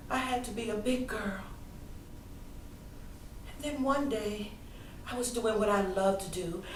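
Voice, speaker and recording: Casting: actress as grieving, yearning daughter in a stage monologue, high female voice, emotional and deliberate, recorded at a distance with faint noise. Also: darkish tone. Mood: wondering. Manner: emotional, deliberate storytelling with dramatic pauses. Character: grieving grown daughter recalling her mother's death, wounded and yearning. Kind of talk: theatrical dramatic monologue. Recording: echoey room, distant mic, faint noise.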